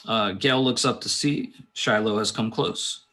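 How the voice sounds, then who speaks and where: A man's voice: lowish voice, unhurried, sharp tone. A man reading screenplay stage directions aloud at a table read, in short, clipped phrases.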